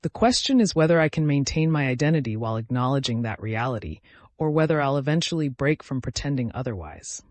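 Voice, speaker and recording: Man as exhausted warrior, brooding, serious, fantasy audiobook, expressive pitch, dry recording. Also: fast talker, no room sound, natural voice. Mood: resolute. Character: exhausted male warrior, torn, brooding, resolute.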